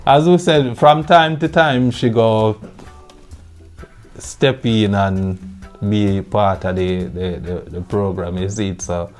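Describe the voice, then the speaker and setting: A Jamaican man hosting a podcast in relaxed patois, often adding "you see it". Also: fairly low pitch, takes it slowly, faint music behind. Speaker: podcast host. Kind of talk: casual chat.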